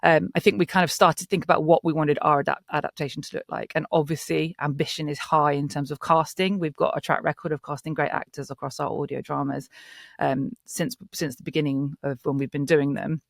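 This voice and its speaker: Male producer, enthusiastic panel speaker, fairly high voice, very dry close sound. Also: fairly even intonation, brisk, creaky, no room sound. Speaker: audio drama producer. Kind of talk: panel interview talk.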